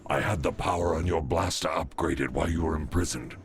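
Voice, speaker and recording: An actor playing a grave, loyal right-hand man in a video game, gravelly, with formal, businesslike speech. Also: a bass voice, brisk, a little hiss or hum. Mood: urgent, dutiful. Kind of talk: dramatic video game acting.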